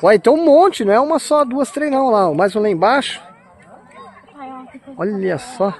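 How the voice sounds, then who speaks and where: A man's voice: very high-pitched, expressive, normal speed. A man with a southern Brazilian accent narrating a wildlife park visit on his phone, enthusiastic and talking to his viewers, constantly exclaiming in awe. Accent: Brazilian Portuguese, southern Brazil. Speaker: vlogger filming a zoo visit. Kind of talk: casual handheld narration. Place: outdoor wildlife park with enclosures. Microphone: phone camera held while walking. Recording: noisy outdoor phone recording. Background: outdoor ambience and animal sounds.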